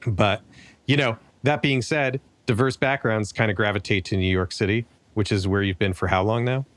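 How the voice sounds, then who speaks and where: A man hosting a podcast interview, speaking in a relaxed, conversational way from the United States, close to the mic. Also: lowish voice, moderate pace, no room sound. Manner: relaxed, conversational, a few restarts. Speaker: podcast host. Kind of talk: casual interview.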